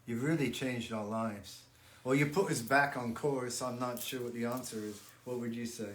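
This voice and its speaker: An older man speaking at a middle pitch, chatting casually with fans during a livestream. He talks in halting bursts, repeats words and restarts his sentences. The room is echoey, with a faint hum of ambient noise behind him. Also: steady tone, a bit bright. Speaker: musician hosting a fan livestream.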